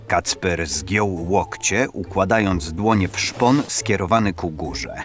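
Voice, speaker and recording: A man narrating an audio drama as a storyteller, with measured, expressive literary delivery. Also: low voice. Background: background music.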